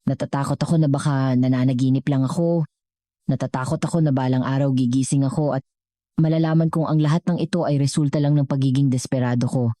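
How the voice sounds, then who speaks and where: A woman with a Filipino accent voicing a humble, gentle mother, polite and heartfelt in storybook dialogue. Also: extremely low pitch, steady tone, quick pace, no room sound, clean studio sound. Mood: uncertain. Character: humble, gentle poor mother, wise about love.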